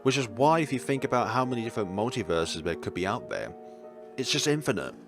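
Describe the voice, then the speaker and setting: Guy doing a visual novel lets play, super animated reading w rambly jokey side comments, game music going behind him. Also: medium pitch, fast talker. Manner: expressive reading mixed with rambling, playful asides. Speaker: video game player and narrator. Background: game soundtrack music.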